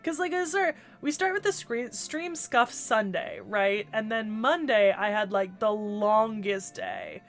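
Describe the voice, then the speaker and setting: A woman's voice: mid-range voice, big pitch swings, unhurried, few pauses, faint music behind. A woman streaming a video game, chatting casually and rambling with lots of restarts and the odd swear, stuffy and congested from a cold. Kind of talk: casual livestream chat while gaming.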